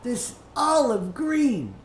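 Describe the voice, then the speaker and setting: A slightly high-pitched older woman preaches in an informal, storytelling way. She is folksy and halting, often repeating words and pausing as she goes. The recording is roomy and a little distant from the mic, with faint noise. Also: sing-song. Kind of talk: informal Bible teaching with storytelling.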